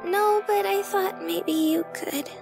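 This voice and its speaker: Woman voicing a very high, girlish young woman. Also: fairly even intonation, slow pace, vocal fry, exaggerated voice. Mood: timid, hopeful. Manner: gentle, hesitant, girlish. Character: sweet, timid, naive young woman, eager to please. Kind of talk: dramatic visual novel read-aloud. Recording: loud music behind.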